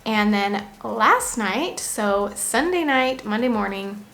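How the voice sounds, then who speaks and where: A woman in her twenties from the United States, chatting casually to camera in a pregnancy vlog, laughing often and pausing to breathe through contractions. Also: mid-range voice, expressive, a bit bright. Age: 20s. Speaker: vlogger.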